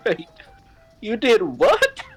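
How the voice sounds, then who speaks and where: A man's voice: high-pitched, wildly swooping pitch, drawn-out words, breathy edge, soft background music, exaggerated voice. A man voicing a bookish, teasing country bumpkin girl with a put-on Southern accent in a comedic fan fiction reading. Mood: amused, teasing.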